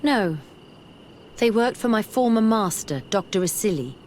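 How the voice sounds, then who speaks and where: An actress playing an earnest, bookish young female scholar in a video game, speaking in a measured, articulate way. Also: medium pitch, animated, a little mellow, a little hiss or hum, close to an everyday voice. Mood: troubled, ashamed. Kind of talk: video game dialogue acting. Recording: music behind the voice.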